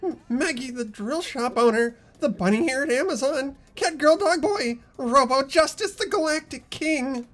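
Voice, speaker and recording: A woman voicing an excitable, anime-obsessed young male nerd in a fan-voiced visual novel, melodramatic and stammering. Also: sits high, unhurried, few pauses, faint music behind. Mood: frantic, wailing.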